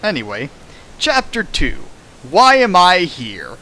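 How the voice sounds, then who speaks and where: Young male riffer, bright and slightly high voice, sarcastic comic reader. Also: huge pitch swings, slow, rough recording. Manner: sarcastic, jokey, laughs while reading. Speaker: reader and riffer of a fan fiction. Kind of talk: comedic dramatic reading with riffing.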